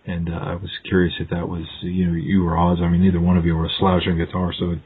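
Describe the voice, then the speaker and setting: A man's voice: low voice, completely flat delivery, quick pace, slight background noise. A man interviewing a musician in a casual, agreeable way, asking long questions with many fillers. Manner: casual, lots of short agreeing replies. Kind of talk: phone interview. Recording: tinny phone line.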